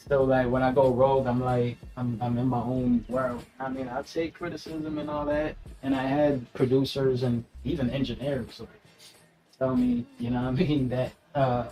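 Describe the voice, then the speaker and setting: A man's voice: mid-range voice, fairly even intonation, moderate pace, warm tone, faint music behind, rough recording. A man chatting casually in an interview, laid-back and slangy, laughing often and filling with "you know what I mean.". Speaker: rapper guest.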